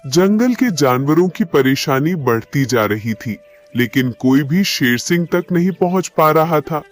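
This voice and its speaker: A man narrating an animated animal story in a scripted storytelling style. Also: a little high, unhurried.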